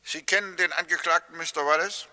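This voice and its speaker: A man's voice: a little high, fairly even intonation, brisk, sharp tone, dry recording. A man acting a stern, sceptical prosecuting lawyer in a 1960s German radio drama, formal and challenging. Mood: probing. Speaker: actor in a radio play.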